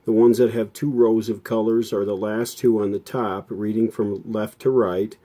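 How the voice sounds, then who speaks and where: A man's voice: on the low side, flat delivery, normal speed, mellow. An American man narrating hands-on electronics repair casually, in a plain-spoken, folksy, explanatory way. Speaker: host, electronics restorer.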